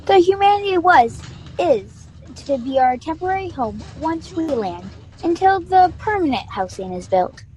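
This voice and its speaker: A woman's voice: very high-pitched, big pitch swings, moderate pace, a little mellow, poor audio. A woman playing an earnest, studious young female colonist who explains things formally in a student sci-fi radio play.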